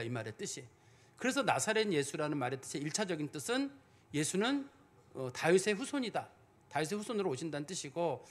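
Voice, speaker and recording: Lively high-voiced male pastor preaching. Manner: explanatory, conversational preaching with rhetorical questions. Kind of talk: sermon.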